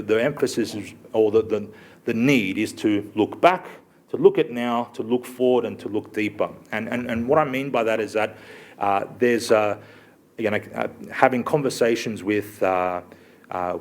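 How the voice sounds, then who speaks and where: A middle-aged Australian man giving a public lecture, halting with many fillers and restarts. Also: fairly low pitch. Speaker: guest speaker.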